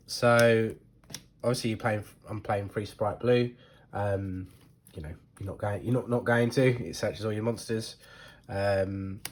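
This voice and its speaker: A man talking casually about his card deck, hesitant and full of ums, sounding stuffy from a cold. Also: fairly low pitch. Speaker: card game deck reviewer. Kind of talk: casual talk to camera.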